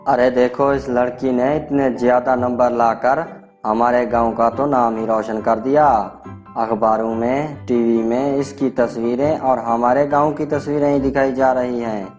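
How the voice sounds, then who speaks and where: A man's voice: mid-range voice, fairly even intonation, measured pace, darkish tone, soft background music, close to an everyday voice. A man playing an admiring, proud village man in a children's storybook tale, exclaiming his praise. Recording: dull, lo-fi voice track.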